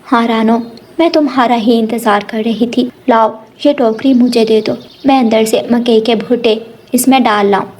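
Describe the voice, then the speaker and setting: A woman voicing a kindly, grateful farmer's wife in an animated children's story. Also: on the high side, normal speed, lightly acted. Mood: warm, grateful. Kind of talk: animated Hindi moral story dialogue.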